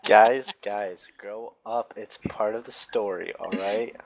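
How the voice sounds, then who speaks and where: A man's voice: lowish voice, fairly even intonation, slow, vocal fry, a crowd in the background. A man chatting casually as one of the listeners in a group story session.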